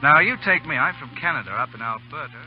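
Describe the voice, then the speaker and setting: A man's voice: on the high side, expressive, fast, close to an everyday voice. An actor playing a brash, protective male Canadian officer with bossy, sarcastic banter in a vintage radio drama. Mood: cocky. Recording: muffled old radio recording, music behind.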